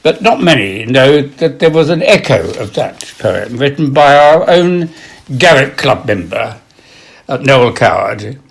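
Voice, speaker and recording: An older man reading literary pieces aloud and introducing them informally, with measured delivery and expressive emphasis. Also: medium pitch, creaky. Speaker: reader of poetry and quotations.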